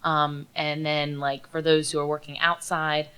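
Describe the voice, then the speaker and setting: Young woman, student panelist, very low voice, quick, lots of ums. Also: level tone, a bit bright, smooth. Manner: quick, filler-heavy. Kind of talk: panel talk.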